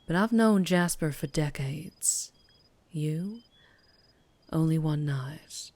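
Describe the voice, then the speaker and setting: Casting: woman to play a sultry Southern US female vampire biker for ASMR roleplay; low register, unhurried, teasing and guarded. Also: a little mellow. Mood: wry, wary. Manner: slow, low, teasing, lapsing into breathy whispers. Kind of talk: ASMR roleplay, scripted character acting.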